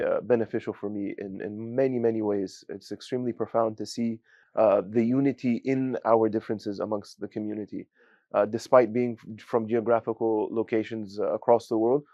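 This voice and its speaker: Hesitant young man, dark voice. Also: medium pitch, no room sound, dull audio. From United States. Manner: hesitant, many fillers, sprinkles Arabic phrases. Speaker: student giving a testimonial. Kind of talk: casual spoken testimonial.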